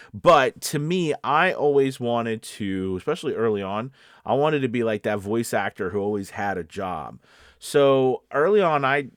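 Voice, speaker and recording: A man's voice: fairly low pitch, no echo, studio quality. A man giving informal coaching as a video host, explaining things conversationally and often checking in with the listener. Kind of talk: informal instructional talk to camera.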